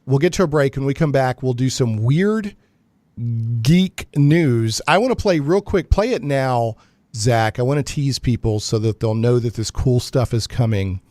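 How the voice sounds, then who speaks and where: A man hosts a casual live radio show with a dry, close sound. He talks informally and haltingly, doubling back on his words. His voice sits at an ordinary male pitch. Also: lively intonation, darkish tone, dry recording.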